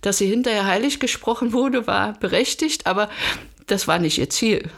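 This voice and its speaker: A woman's voice: mid-range voice, normal speed, creaky. A woman from Berlin speaking as a podcast guest and coach, giving considered, structured answers in a conversational interview style. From Germany, Berlin.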